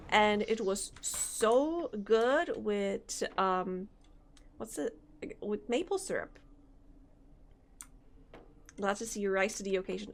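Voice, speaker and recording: A woman's voice: medium pitch, a little hiss or hum. A woman live-streaming a game, rambling casually and chatting with viewers, her throat a little sore. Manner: rambling, thinks aloud, chats with viewers. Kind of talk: casual live-stream chat while playing. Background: faint game music.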